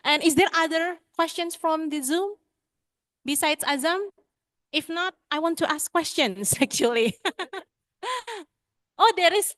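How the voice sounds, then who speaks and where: A polite woman moderator reads questions out at a seminar. Her high voice is dry and close. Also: a little slow, pauses often, fairly bright tone, no room sound.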